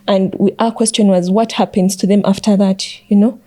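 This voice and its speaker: A woman's voice: mid-range voice, fairly even intonation, normal speed, dark tone. A young woman giving earnest, conversational answers as a student panel guest. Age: young adult.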